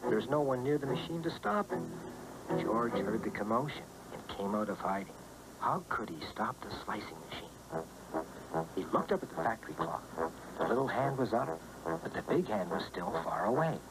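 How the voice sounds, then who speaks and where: A man narrating a children's cartoon storybook in a clear read-aloud manner, with music behind. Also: fairly low pitch, expressive, moderate pace, poor audio.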